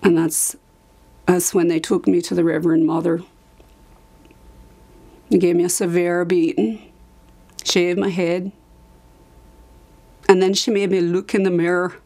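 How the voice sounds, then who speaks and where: A woman acting out a dramatized monologue as an anguished asylum survivor recalling her past, halting, with emphatic repetitions. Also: lowish voice, little pitch movement, gravelly, darkish tone. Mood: defiant, anguished. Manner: halting, emphatic repetition, sudden drops to whisper. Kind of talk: dramatized monologue, confessional testimony.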